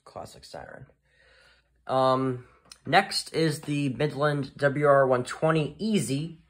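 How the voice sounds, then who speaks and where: Halting man in casual show-and-tell. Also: medium pitch, noisy background. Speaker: hobbyist presenter of a weather radio collection. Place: indoors, in a room at home.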